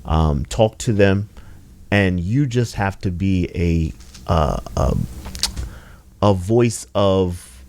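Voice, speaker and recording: Earnest low-voiced man, slow and agreeable. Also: a little mellow, a little hiss or hum. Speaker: podcast co-host, basketball trainer. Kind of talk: casual podcast chat.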